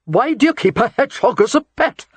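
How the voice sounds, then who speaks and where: A woman's voice: a little high, very animated, brisk, husky, no room sound, pitched above the performer's own voice. A woman voicing a querulous old earl. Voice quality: demanding, scowling. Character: querulous elderly male earl, imperious grandfather.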